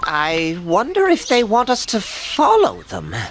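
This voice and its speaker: A woman voicing a young cookie girl adventurer in an animated game cutscene, exclamatory and full of questions, easily bewildered or indignant. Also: fairly high pitch, unhurried, slight background noise. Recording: music behind the voice.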